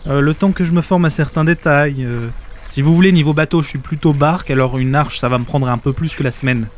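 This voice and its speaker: A man's voice: on the high side, a little fast, lightly acted. An actor playing a sarcastic, overwhelmed everyman ark builder in a comedic audio drama, haggling in hesitant, colloquial speech.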